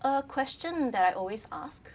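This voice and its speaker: A woman's voice: sits high, lively intonation, moderate pace, slight background noise. Chatty young woman, Mandarin native. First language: Mandarin Chinese. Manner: chatty and articulate, many fillers. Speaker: brand and communications lead, podcast guest. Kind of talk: casual interview chat.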